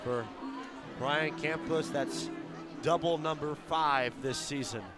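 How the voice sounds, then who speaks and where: A man calling live baseball play-by-play for radio in a fluent, descriptive style that can build to shouts on big plays. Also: on the high side, fairly even intonation, slow, music in the background. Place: outdoor baseball stadium broadcast position. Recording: live ballpark with crowd noise behind. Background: crowd noise.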